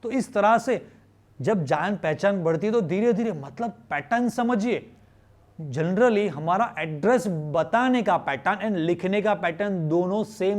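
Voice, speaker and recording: A male teacher with an Indian accent and a notably high voice explains a lesson to students. He is informal and conversational, checking in with questions, repeating phrases and slipping in bits of English. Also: a little mellow. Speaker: chemistry teacher.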